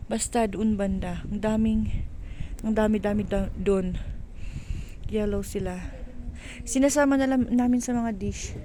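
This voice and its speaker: A woman with a Filipino accent chatting casually and haltingly for a vlog while walking outdoors, mixing in English phrases. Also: medium pitch, mellow. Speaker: vlogger. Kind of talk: casual walk-and-talk vlog chat. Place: outdoors on a windy countryside walk. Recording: noisy windy outdoor ambience.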